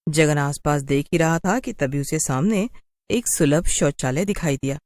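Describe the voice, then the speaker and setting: A woman's voice: low voice, normal speed, a little mellow, no echo, clean studio sound. A woman narrating a scripted cartoon story in a dramatic storytelling style.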